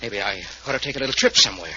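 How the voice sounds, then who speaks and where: An actor playing a nervous, cowardly boyfriend afraid of gangsters in an old-time radio drama. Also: male voice, on the low side, racing, bright, forceful tone. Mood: anxious, evasive. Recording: muffled, hissy old radio recording.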